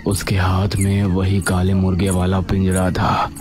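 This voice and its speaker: A man's voice: deep voice, completely flat delivery, a little slow, background noise. A man narrating a scripted dramatic village story. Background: background music.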